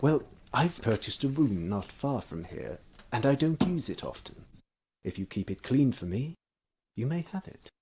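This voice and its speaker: A man playing an earnest Victorian doctor with a dark, suave side, in a husky voice, in stage melodrama style. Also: mid-range voice, big pitch swings, moderate pace, noisy background. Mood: suave, predatory. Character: exhausted earnest male doctor devoted to science; also his suave alter ego.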